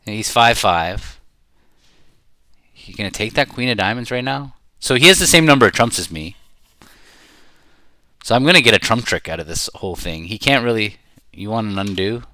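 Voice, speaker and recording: Middle-aged man, low-pitched, crisp bright voice, casual game commentary, halting and rambling. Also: animated, dry recording. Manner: rambling, halting, thinking aloud. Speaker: bridge player commentating while playing.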